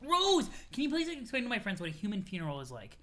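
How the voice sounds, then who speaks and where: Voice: medium pitch, huge pitch swings, fast, fairly bright tone. A comic reader voicing a cheerful troll girl with a morbid streak, perky, eager and earnest. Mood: gleeful.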